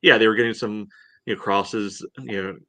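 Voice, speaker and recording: A man's voice: on the low side, steady tone, moderate pace, pushed and bright, no room sound. A man co-hosting a soccer podcast, talking in a casual, hedging, conversational way. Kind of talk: casual sports discussion.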